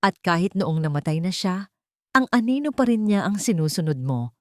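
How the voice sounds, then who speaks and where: A woman's voice: lowish voice, very animated, normal speed, dry recording, clean studio sound. A woman narrator with a Filipino accent voicing a jealous, scheming spurned woman, indignant and insistent. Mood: bitter, jealous.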